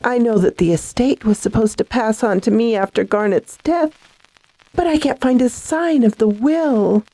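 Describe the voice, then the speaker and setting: A woman acts a fragile, bewildered lady from a classic radio thriller. Her high voice is soft-toned and melodramatic, with hesitant, unhurried speech, recorded close and dry. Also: no room sound, low-quality recording. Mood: hesitant confiding. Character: frightened, bewildered, tormented lady, pleading and fragile. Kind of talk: classic radio drama acting.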